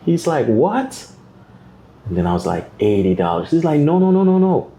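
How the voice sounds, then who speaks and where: A man's voice: fairly high pitch, moderate pace. A man with a Jamaican accent, a guest artist telling stories in a podcast interview and acting out the voices of other people. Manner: animated storyteller, acts out voices of others.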